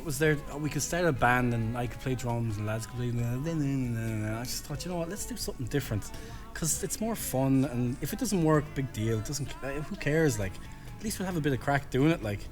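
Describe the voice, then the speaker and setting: A man's voice: medium pitch, lively intonation, a little fast, few pauses, faint music behind. An Irish man in a band, chatting loosely in an interview with plenty of fillers and restarts. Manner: rambling, lots of fillers. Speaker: band member, drummer. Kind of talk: casual interview chat.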